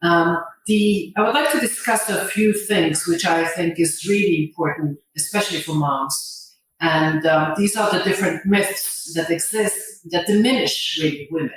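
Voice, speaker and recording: A middle-aged woman with a Bosnian accent gives an informal motivational talk, phrasing things haltingly and deliberately and repeating words for emphasis. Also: on the low side. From Sarajevo, Bosnia. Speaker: motivational speaker and angel investor. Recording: very echoey room, distant microphone.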